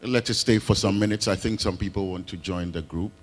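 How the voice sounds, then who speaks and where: A man moderating an online session, announcing slowly and repeating himself. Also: sits low, fairly even intonation. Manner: slow and repetitive, announcing.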